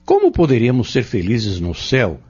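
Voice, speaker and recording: A man playing a patient, earnest Spiritist teacher in an audio drama, explaining step by step in a measured, didactic way. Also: medium pitch, wildly swooping pitch, darkish tone, slightly rough. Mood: earnest, probing. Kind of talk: scripted audio drama dialogue. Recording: dull lo-fi audio.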